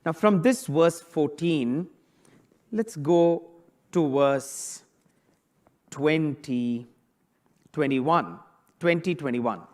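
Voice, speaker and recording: Priest guy preaching, kinda high voice, keeps throwing questions at the congregation. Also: extremely slow, pauses often, a little mellow. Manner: conversational preaching, rhetorical questions. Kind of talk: sermon on a Bible passage.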